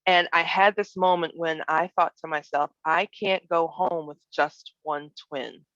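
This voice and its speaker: A woman's voice: low-pitched, a little slow, dry recording. Woman preaching, slightly dull dry recording. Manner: expressive, acts out imagined speech and emphasizes key words; reflective, poses rhetorical questions to listeners. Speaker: guest preacher. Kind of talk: sermon with personal storytelling. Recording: dull, lo-fi online worship audio.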